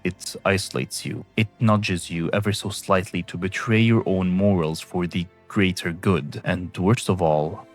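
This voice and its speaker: A young man from Syria narrating a scripted video essay in a measured, solemn way. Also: low-pitched, faint music behind.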